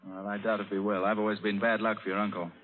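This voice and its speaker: An actor playing a dashing, teasing young pirate and romantic hero in a 1930s radio drama. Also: male voice, fairly low pitch, little pitch movement, fast talker, close to an everyday voice. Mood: cocky, teasing. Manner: playful, theatrical, teasing. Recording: tinny 1930s radio broadcast.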